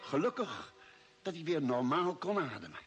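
A man's voice: a little high, very animated, moderate pace, a bit bright. A man acting a thoughtful ship's doctor who narrates an expedition in a radio drama. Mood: measured narration.

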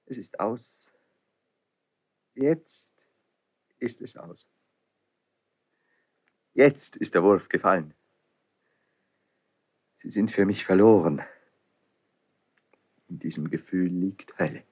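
An actor playing a passionate, anguished young prince in love in a classical radio drama. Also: male voice, low-pitched, slow, long pauses, a little mellow, breathy edge, lo-fi sound, exaggerated voice. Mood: despairing. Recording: 1970s studio radio play.

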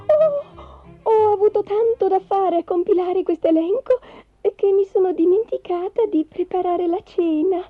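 A woman voicing a bossy, hot-tempered, scolding wife in a storybook tale, exclaiming excitably. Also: very high-pitched, unhurried, no room sound, narrow, tinny audio, faint music behind, pitched above the performer's own voice. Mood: excited, eager. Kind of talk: theatrical storybook character voice.

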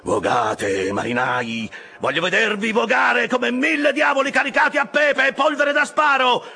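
A man's voice: very high-pitched, animated, normal speed, vocal fry, pushed and bright, slightly rough, pitched above the performer's own voice, heavily acted. A man voicing a boisterous whaleboat officer who taunts and cajoles his oarsmen in an audiobook story. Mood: frenzied urging. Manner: exclamatory, taunting, mock-exasperated, wheedling and bellowing at his crew.

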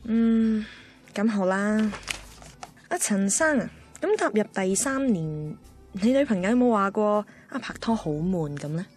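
A woman's voice: medium pitch, animated, measured pace, a little mellow, lightly acted. An actress playing a blunt, teasing, know-it-all female friend in a radio drama, emphatic, with a Hong Kong Cantonese accent. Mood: knowing, warning. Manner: emphatic, mixes in English words. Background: music.

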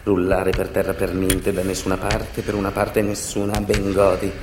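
A man delivering a dramatic theatrical monologue, intense and rhythmic, with repetitions and deliberate pauses. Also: low-pitched, monotone, background noise. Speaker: stage actor and narrator. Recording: roomy, echoing sound.

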